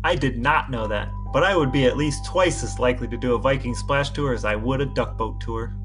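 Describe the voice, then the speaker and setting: A man chatting casually as a podcast co-host, with a wry, deadpan manner. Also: medium pitch, a little fast, faint music behind.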